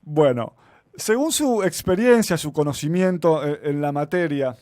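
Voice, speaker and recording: A man's voice: a little high, lively intonation, a little slow, darkish tone, no echo, studio quality. A man, hosting an interview and asking polite, somewhat hesitant questions. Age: 30s. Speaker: host.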